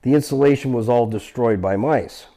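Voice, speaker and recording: Mellow-voiced man explaining repairs. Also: mid-range voice, normal speed. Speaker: vehicle restorer and host. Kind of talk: hands-on repair explainer, casual talk to viewers.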